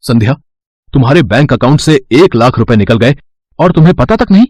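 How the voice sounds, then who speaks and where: Guy as a stern dad in a kids cartoon, mid pitch, dry sound. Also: expressive, brisk, darkish tone, no echo, natural voice. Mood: concerned. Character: stern well-off father.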